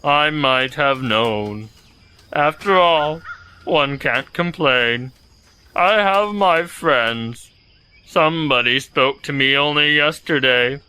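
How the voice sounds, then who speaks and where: A man playing a gloomy, self-pitying donkey who grumbles pompously in a slow, halting, sardonic way in an audio storybook drama. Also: on the high side, bright, forceful tone, clean tone. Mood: self-pitying, dismissive.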